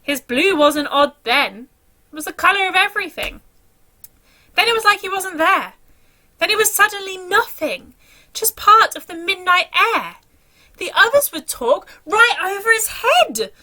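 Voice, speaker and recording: A woman's voice: very high voice, expressive, unhurried, pushed and bright. A woman reading a children's story aloud in a dramatic, theatrical storytelling manner.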